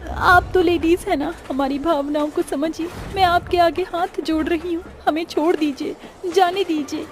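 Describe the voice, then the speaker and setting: Woman doing a high, tearful pleading passenger. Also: fairly even intonation, normal speed, slight background noise. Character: frightened female passenger begging for mercy. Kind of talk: animated cartoon story acting.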